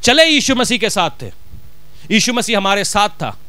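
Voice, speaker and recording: A man's voice: high voice, big pitch swings, moderate pace, pushed and bright, clean tone, no room sound. A middle-aged man with a Punjabi accent, a pastor preaching a sermon from a church stage with a handheld mic, driving his points home with rhetorical questions. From Punjab, India.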